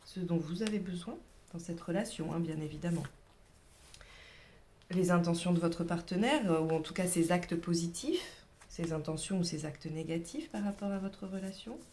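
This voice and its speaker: A woman's voice: lowish voice, normal speed, warm tone, slight background noise. A woman with a cold giving a casual tarot reading, chatty and hesitant, sometimes dropping to a whisper. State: has a cold. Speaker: tarot reader.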